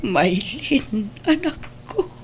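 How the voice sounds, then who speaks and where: A woman playing a worried, sorrowful middle-aged mother in a Filipino radio drama, pleading and blaming herself in a husky voice. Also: a little high, sing-song, drawn-out words, faint music behind, heavily acted. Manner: pleading, lamenting.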